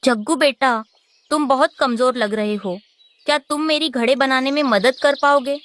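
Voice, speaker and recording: A woman's voice: high-pitched, normal speed, fairly bright tone, slight background noise. A woman voicing a caring, protective mother bird in a children's cartoon. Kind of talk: animated children's story acting.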